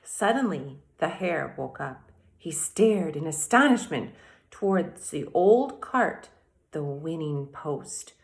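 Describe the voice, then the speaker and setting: Slow-paced woman teacher reading to children. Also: sits low, animated. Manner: deliberate, addresses children directly with questions and asides. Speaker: elementary school teacher reading aloud. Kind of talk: expressive children's read-aloud and mini lesson.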